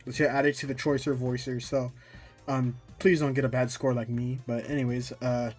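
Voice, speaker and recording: A man's voice: mid-range voice, little pitch movement, moderate pace, darkish tone, soft background music. A man talking informally and a bit ramblingly through a casual gameplay tutorial, often poking fun at himself. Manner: informal, rambling, self-deprecating. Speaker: tutorial video maker.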